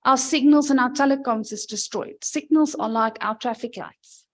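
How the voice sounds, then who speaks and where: A middle-aged woman from South Africa giving a conference presentation in a loud, emphatic voice. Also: on the high side, moderate pace.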